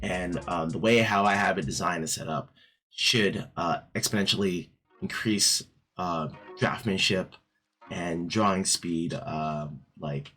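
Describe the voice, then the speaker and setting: A man reviewing an art book in a casual vlog, his voice raspy from just waking up, rambling with many fillers and restarts. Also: low-pitched, a little slow. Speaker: art YouTuber. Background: soft background music.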